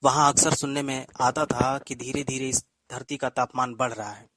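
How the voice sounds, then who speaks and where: A man's voice: mid-range voice, steady tone, normal speed, a bit bright, no echo. Man hosting with formal, literary phrasing. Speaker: programme host. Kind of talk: poetic scripted show introduction.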